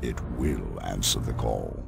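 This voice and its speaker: An actor playing a grave, weary space marine warrior, narrating a game cutscene in a solemn, measured, ominous way with a gravelly voice. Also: male voice, rumbling low, heavily acted. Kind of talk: dramatic scripted cutscene narration. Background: music.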